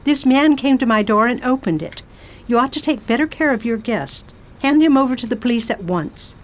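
A woman voicing a brisk, commanding spinster travel writer, with a crisp, imperious and dryly witty delivery. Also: on the high side, animated, natural voice, poor audio. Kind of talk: radio drama acting.

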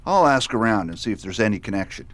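An actor playing a steady, friendly town marshal in a western radio drama, asking questions in a folksy, matter-of-fact way. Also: male voice, fairly low pitch, fast talker, noisy background. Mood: businesslike.

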